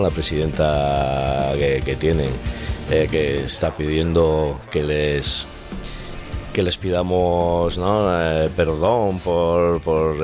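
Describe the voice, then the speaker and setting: A man, a guest on a radio chat, talking in a hesitant way with many fillers. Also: a bass voice. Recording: music playing under the voice.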